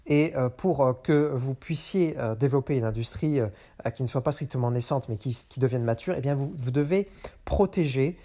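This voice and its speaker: A man's voice: medium pitch, moderate pace, warm tone. A man hosting a show, talking unscripted and hesitant, with many fillers and restarts. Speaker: podcast host. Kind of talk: unscripted monologue on economics. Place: in a car.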